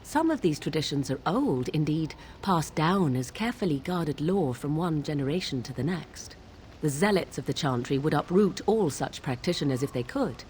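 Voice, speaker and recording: A haughty, low-voiced witch. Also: lively intonation, a little mellow, slight background noise. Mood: lofty, instructive. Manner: archaic, formal, measured, haughty diction. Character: proud, aloof young witch of the wilds, shapeshifter. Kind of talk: fantasy video game dialogue.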